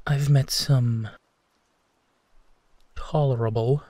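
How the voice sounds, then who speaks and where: A man playing a gentle, protective werewolf who tenderly reassures his partner in an intimate bedtime roleplay, leaving long pauses. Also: mid-range voice, steady tone, no echo. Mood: gently wry. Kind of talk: intimate roleplay audio, soft bedtime comfort.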